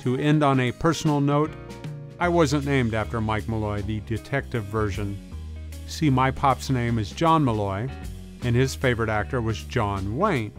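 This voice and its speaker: Man, scripted video essay narration, informal folksy phrasing, middling pitch, clear music bed behind. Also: moderate pace. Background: music bed with guitar.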